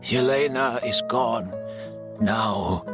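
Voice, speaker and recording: A man playing a gentle, scholarly teacher in a video game, speaking in a calm, measured, resigned way. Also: medium pitch, music in the background. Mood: calm, grateful. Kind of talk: video game character acting.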